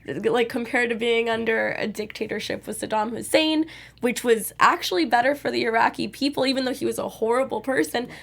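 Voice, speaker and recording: A young woman co-hosting a casual TV review show, with a gravelly, fry-heavy voice that sits a little high. She rambles in a chatty way, full of likes and I means. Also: a little fast. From United States. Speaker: co-host. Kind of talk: casual TV recap chat.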